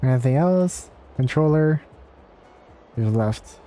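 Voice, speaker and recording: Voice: mid-range voice, animated, takes it slowly, warm tone. A game streamer giving casual gameplay commentary, thinking aloud. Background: game music.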